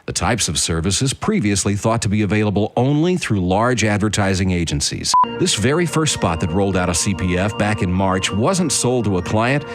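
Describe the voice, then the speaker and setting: A man reading scripted promotional narration for radio. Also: lowish voice.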